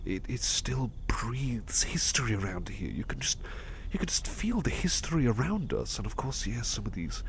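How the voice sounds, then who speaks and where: A man playing a devoted, polite butler in a soft-spoken ASMR roleplay, speaking gently and hesitantly with courteous stammers. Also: mid-range voice, very animated, moderate pace, a little hiss or hum. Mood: warm, earnest. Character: devoted, polite, history-loving male butler companion.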